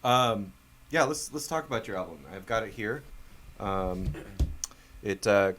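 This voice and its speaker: A man hosting a band interview, speaking in a deadpan, halting manner. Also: lowish voice, slight background noise. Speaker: talk show host.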